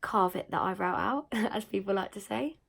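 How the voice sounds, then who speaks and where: A young woman with a croaky, slightly nasal voice after being ill, chatting casually through a makeup tutorial with lots of fillers. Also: medium pitch, moderate pace, fairly bright tone. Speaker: beauty vlogger.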